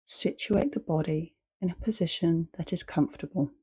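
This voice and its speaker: A woman leading a guided meditation as a teacher, speaking calmly and in short, measured phrases with long pauses. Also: lowish voice, slightly rough, rough recording.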